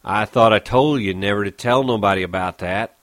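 A man voicing a stern, disapproving ranch hand who speaks briskly. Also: on the low side, no room sound, natural voice.

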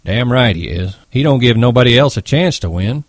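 A man's voice: medium pitch, lively intonation, fairly quick, dry recording, clean studio sound, pitched above the performer's own voice, lightly acted. A man playing a thick-bodied ranch hand with a blunt, coarse, complaining manner in a story. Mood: blunt, disgusted.

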